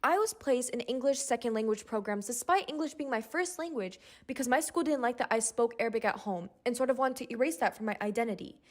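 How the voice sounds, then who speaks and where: A woman's voice: fairly high pitch, expressive, quick pace, hardly any pauses. A young woman, a student speaker, reading out a scripted personal statement. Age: young adult.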